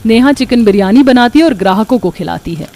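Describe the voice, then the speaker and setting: A woman's voice: fairly high pitch, animated, brisk, dark tone. A woman reading scripted storytelling narration for a cartoon.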